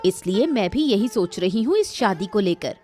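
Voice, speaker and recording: A woman performs a haughty middle-aged village wife in a cartoon moral tale. She lectures with sarcasm and disapproval at an ordinary female pitch, with light music behind her. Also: very animated, normal speed, dark tone, lightly acted. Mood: disapproving. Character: proud, status-minded, scornful middle-aged village wife. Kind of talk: Hindi cartoon moral story dialogue.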